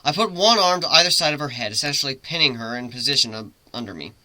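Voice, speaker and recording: A man's voice: mid-range voice, lively intonation, fast, bright, forceful tone, no echo, rough recording. A man reading a story aloud as its narrator, in a dramatic reading style.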